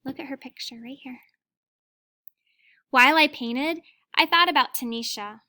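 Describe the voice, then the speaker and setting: A woman reading a children's story aloud like a teacher, in an expressive, deliberate way, with asides to her young listeners. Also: high-pitched.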